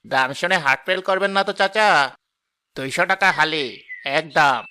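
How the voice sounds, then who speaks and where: A man's voice: on the high side, moderate pace, sharp tone, clean tone. An actor voicing a rude, greedy male fruit seller in a cartoon, talking in brash hawker's patter. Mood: scornful. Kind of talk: cartoon voice acting.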